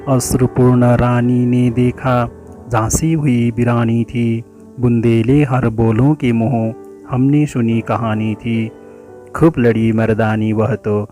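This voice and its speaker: A man reciting a poem in a measured, declamatory way, drawing out the ends of lines. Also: medium pitch, dark tone. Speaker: poetry reciter. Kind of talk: dramatic Hindi poem recitation.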